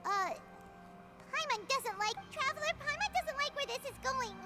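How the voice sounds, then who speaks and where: A woman voicing a tiny, excitable, childlike fairy companion in a video game, with a squeaky voice. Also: normal speed. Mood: anxious, eager. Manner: refers to self in third person, exclaiming. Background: game music.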